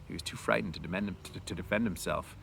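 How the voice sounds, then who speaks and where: A man's voice: low voice, quick pace, vocal fry, fairly bright tone, slightly rough. A man narrating a story aloud in an informal way, with casual asides.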